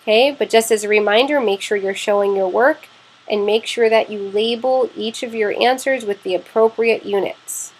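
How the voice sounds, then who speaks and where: A woman's voice: on the high side, normal speed, low-quality recording. A woman science teacher narrating a lesson step by step, explaining plainly and often checking in with "okay".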